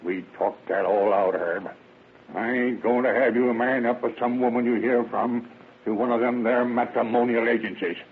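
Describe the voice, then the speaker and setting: A man's voice: medium pitch, steady tone, normal speed, slight background noise, lightly acted. An actor playing a stern, pious, stubborn old rural father with folksy rustic speech in a radio drama. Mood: stern, annoyed. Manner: gruff, folksy rustic talk. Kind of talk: 1930s radio acting. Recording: muffled phone-like vintage radio recording.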